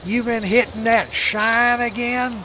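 A man's voice: extremely high pitch, fairly even intonation, measured pace. A man playing a folksy, cranky old-West cowhand in a radio comedy. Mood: gruff teasing. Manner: folksy, rustic. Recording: noisy, crickets in the background.

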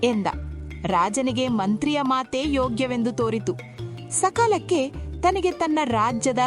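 A woman narrating a scripted children's folktale in an expressive storytelling manner. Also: a little high. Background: background music.

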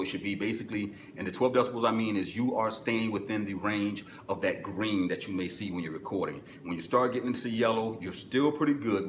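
A middle-aged man with a deep voice, hosting a live-stream webinar and giving direct, instructive answers to viewer questions from a small office. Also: echoey room, slight background noise. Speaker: music business webinar host. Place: small office with corkboard, indoors.

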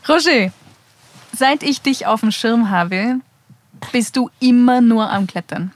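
A woman hosting a podcast, introducing her guest and asking direct questions in a lively way. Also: on the high side, slow. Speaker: podcast host.

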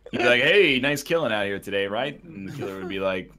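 A man's voice: on the low side, lively intonation, fast talker, noisy background. A man bantering on a gaming voice chat, telling stories in a comically aggrieved, ranting way. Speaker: gamer on a group voice chat. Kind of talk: casual banter while playing a video game.

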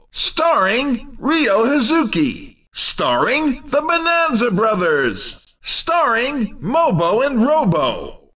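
A man's voice: high-pitched, bright, forceful tone, recorded from a distance, heavily acted. A man playing an exuberant, upbeat race commentator in a video game, with punchy, theatrical, emphatic delivery. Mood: showy introducing. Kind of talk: excited arcade racing game announcing.

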